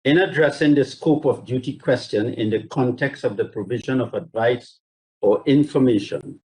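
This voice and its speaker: A man speaking as a barrister in a formal, measured and courteous legal submission, reading carefully from judgments over a video link. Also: mid-range voice, darkish tone, rough recording. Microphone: video call.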